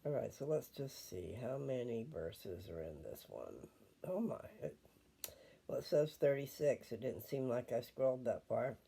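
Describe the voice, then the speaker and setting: An older man chatting casually and reading scripture at home, unhurried and wandering as he thinks aloud. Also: mid-range voice, very animated, gravelly, dark tone, slightly rough.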